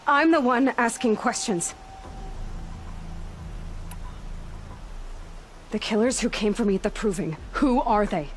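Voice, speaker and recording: A woman's voice: fairly high pitch, expressive, moderate pace, a little hiss or hum, lightly acted. A woman playing a determined, guarded young huntress in a video game, probing and dryly sarcastic. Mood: demanding. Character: determined, wary, sharp-tongued young huntress heroine. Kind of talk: video game cutscene dialogue.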